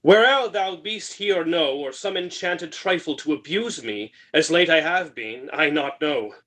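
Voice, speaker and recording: A man's voice: a little high, lively intonation, unhurried, pushed and bright, heavily acted. A man reading the part of a grieving, bewildered shipwrecked king in an unrehearsed Shakespeare play, with dramatic declamation. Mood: awed, repentant.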